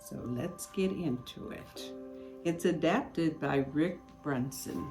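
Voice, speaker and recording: An older woman reading a children's storybook aloud in an expressive, unhurried way. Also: low voice. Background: music.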